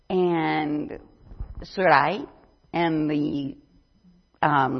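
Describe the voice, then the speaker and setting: A woman's voice: lowish voice, slightly rough. An older woman giving a chatty mission presentation at church, rambling with many ums. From not from Brazil. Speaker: missionary speaker. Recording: dull lo-fi sound.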